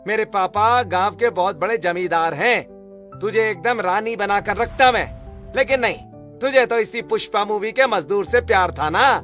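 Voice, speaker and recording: A man's voice: high-pitched, moderate pace, a bit bright, faint music behind, voice pushed up. A man playing a boastful young landlord's son from a village in a cartoon, taunting and bragging.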